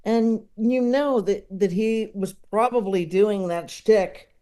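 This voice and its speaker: A man chatting casually as a podcast guest, talking in a halting, rambling way. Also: high voice, mellow, clear, resonant tone. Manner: halting, rambling, with chuckling asides. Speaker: podcast guest, comedy enthusiast. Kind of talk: casual interview conversation.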